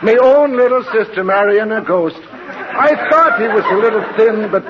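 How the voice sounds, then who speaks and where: High-voiced stage-Irish uncle, old radio. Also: male voice, animated, moderate pace. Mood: mock indignant. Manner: theatrical stage-Irish brogue, flowery sweet talk. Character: jolly, blarney-spouting Irish uncle, cheeky and confused. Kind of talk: 1940s radio comedy acting. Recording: muffled, noisy 1940s radio recording, studio audience.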